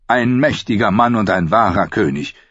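A man doing a groveling, flattering lord. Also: lowish voice, normal speed, slightly rough, dry recording, dull audio. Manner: obsequious, eager. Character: fawning, flattering male lord, sweaty and nervous.

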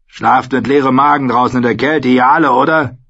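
A man's voice: medium pitch, fairly even intonation, fairly quick, a bit bright, lo-fi sound, voice pushed up. A man voicing a hostile, proud wildling in an audiobook, growling and threatening. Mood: menacing. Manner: threatening, defiant.

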